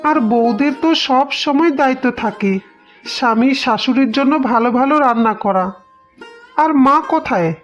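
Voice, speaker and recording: Woman voicing an earnest, high-voiced young husband, muffled audio. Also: moderate pace, faint music behind, close to an everyday voice. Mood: complaining. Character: earnest, troubled young husband and devoted son. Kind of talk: melodramatic cartoon voice acting. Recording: dull, band-limited audio.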